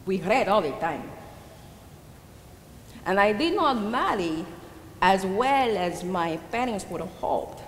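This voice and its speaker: A woman's voice: medium pitch, animated, slow, pauses often. In a reverberant hall with some background noise, an old Dominican widow played by a woman tells her story as a stage monologue. She has a Dominican Spanish accent and a hospitable, sorrowful pride. Her delivery is hesitant and confiding, rising and falling expressively. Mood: wistful, confiding. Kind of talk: dramatic theatrical monologue.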